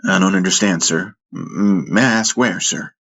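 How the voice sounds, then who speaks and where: A man voicing an anxious, deferential corporal in an audiobook, speaking politely and haltingly. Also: low-pitched, vocal fry, fairly bright tone, heavily acted. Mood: confused. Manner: hesitant, polite, faltering. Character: anxious, deferential male corporal questioning his superiors.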